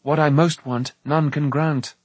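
A man's voice: a little high, fairly even intonation, unhurried, slightly rough, no room sound. A man voicing an earnest, humble young hero with sincere, formal speech in a storybook reading. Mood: wistful.

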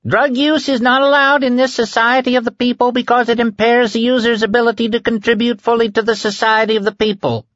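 A man voicing a twitchy male official who proclaims formally in a piercing high voice, in an audiobook. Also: flat delivery, fairly bright tone, dry recording, muffled recording. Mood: officious proclaiming. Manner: fast auctioneer-like proclaiming.

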